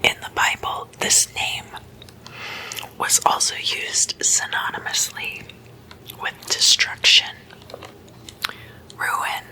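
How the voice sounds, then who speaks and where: A woman speaks entirely in a crisp, bright whisper, breathy and unvoiced. She goes very slowly and deliberately as an ASMR host, leaving measured pauses between short phrases of her explainer. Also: rough recording.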